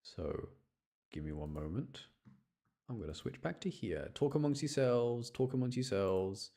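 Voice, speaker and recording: A man's voice: mid-range voice, animated, measured pace, warm tone. A man giving casual, chatty live commentary as he streams a video game, talking to his viewers. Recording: music behind the voice.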